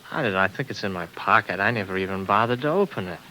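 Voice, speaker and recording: A man's voice: lowish voice, lively intonation, fast talker, sharp tone, a little hiss or hum, rough recording. An actor in a 1950s radio drama playing a wary, bewildered space traveler who also tells his story in hard-boiled style. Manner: plain-spoken, questioning, hard-boiled. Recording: old 1950s radio recording.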